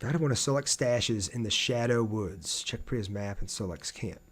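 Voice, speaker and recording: A man's voice: lowish voice, normal speed, slightly rough. A man livestreaming a video game, chatting casually with viewers and thinking aloud as he plays. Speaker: game streamer. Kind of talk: casual livestream gameplay commentary with chat replies.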